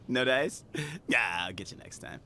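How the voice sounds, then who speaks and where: A man's voice: medium pitch, wildly swooping pitch, a little slow, sharp tone, a little hiss or hum, heavily acted. A man voicing a friendly, adventurous young male character in a video game. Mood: playful, eager.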